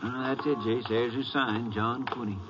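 An actor playing a folksy, dogged sheriff in an old-time radio western. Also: male voice, mid-range voice, fairly even intonation, moderate pace, close to an everyday voice. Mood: casual. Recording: noisy, muffled old radio recording.

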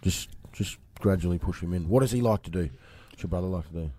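A man co-hosting a podcast, his voice croaky, joking and teasing his way through a casual chat. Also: deep voice, fast talker, dark tone. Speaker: podcast co-host.

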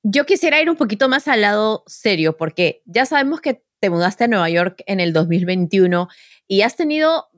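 A woman's voice: mid-range voice, very animated, moderate pace, no echo, studio quality. A woman co-hosting an interview, asking long, carefully framed questions. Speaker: podcast co-host.

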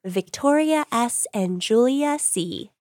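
A woman's voice: fairly high pitch, lively intonation, takes it slowly, darkish tone, no room sound, clean studio sound. A woman co-hosting a podcast in casual chat, sounding nasal and congested from being sick. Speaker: podcast co-host.

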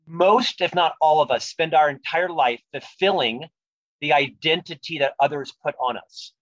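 A man's voice: on the high side, moderate pace, fairly bright tone, dry recording, highs cut off. A man with a lifelong stutter, speaking earnestly and reflectively as an author being interviewed about leadership. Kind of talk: podcast interview conversation.

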